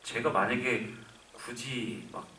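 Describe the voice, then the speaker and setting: A man's voice: medium pitch, slow, sharp tone, distant mic, slight background noise. Young man in an echoey cinema. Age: 20s. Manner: earnest, thinks aloud with many restarts. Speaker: actor at a film Q&A. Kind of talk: live Q&A answer. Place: cinema auditorium.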